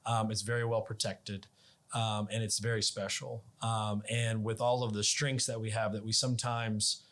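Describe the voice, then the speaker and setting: A man with a slightly low voice hosts a conversational interview. He comes across as agreeable and affirming as he talks with his guest. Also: level tone, moderate pace, a bit bright. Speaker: podcast host.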